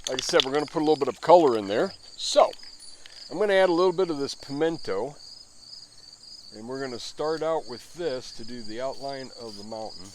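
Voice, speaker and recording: A man's voice: mid-range voice, lively intonation, fairly quick, noisy background. A man in his fifties giving a rambling, self-deprecating running commentary as he spray paints. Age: 50s. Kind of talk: running commentary while working.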